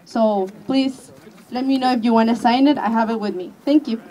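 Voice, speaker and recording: Earnest woman, soft mellow voice. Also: on the high side, normal speed, rough recording. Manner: hesitant, many fillers and restarts, earnest. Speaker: refugee advocate speaking at a rally. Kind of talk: rally speech. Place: outdoor rally in a park.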